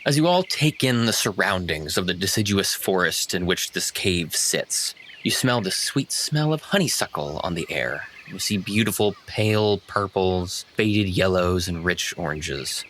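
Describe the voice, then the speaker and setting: Low-voiced man narrating a fantasy game. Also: expressive, a little slow, hardly any pauses, a bit bright, a little hiss or hum. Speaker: game master. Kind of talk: descriptive fantasy tabletop narration.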